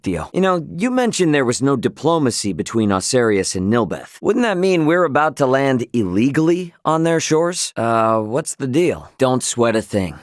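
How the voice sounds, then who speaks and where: Guy acting as brash loyal young male captain in a video game, earnest n casual, pitch jumps around, dry close sound. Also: dry recording, natural voice. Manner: informal, earnest, expressive.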